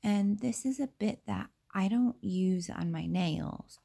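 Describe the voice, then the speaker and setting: A young woman with a slightly raspy voice from feeling unwell, casually talking through a nail tutorial with many fillers and asides. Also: mid-range voice, slow, warm tone.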